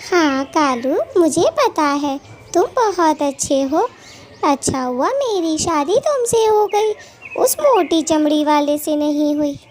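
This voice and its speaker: Very high, drawn-out cartoon thief bird wife. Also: female voice, animated, darkish tone, a little hiss or hum. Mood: coy, flattering. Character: greedy, lazy, wheedling female thief bird, a wife. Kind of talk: children's cartoon story acting.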